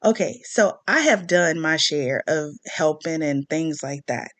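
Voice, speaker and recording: A woman's voice: sits low, lively intonation, measured pace, dry recording. A woman with a Southern American accent in a casual personal rant, speaking emphatically and repeating phrases for stress. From southern United States. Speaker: online commentary host.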